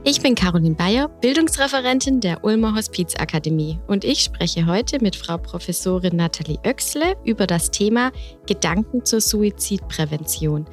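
A woman's voice: mid-range voice, animated, moderate pace, faint music behind. A woman hosting a podcast interview, polite and friendly as she welcomes and questions her guest. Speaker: podcast host.

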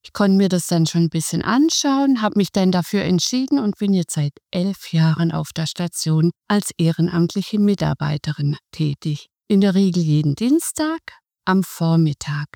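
Calm middle-aged woman with a mellow voice. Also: on the low side, vocal fry, no room sound, studio quality. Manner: calm, measured explaining. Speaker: hospice volunteer, guest. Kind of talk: podcast interview.

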